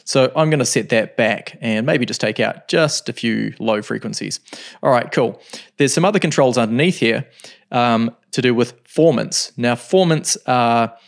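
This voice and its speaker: Gravelly-voiced man explaining synth settings. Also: medium pitch. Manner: relaxed explaining with frequent ums. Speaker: music production tutor. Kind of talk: screen-recorded software tutorial.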